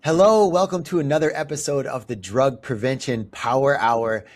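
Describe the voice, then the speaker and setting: A man's voice: medium pitch, moderate pace, soft background music. A man hosting a podcast who talks in an upbeat, friendly way in a casual interview, recorded inside a police cruiser. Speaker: podcast host.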